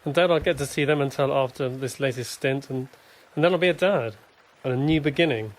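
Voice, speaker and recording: An actor playing a fond young corporal and father-to-be in a war radio drama, with a gentle, hesitant delivery. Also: mid-range voice, fairly quick, lightly acted. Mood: fond, wistful.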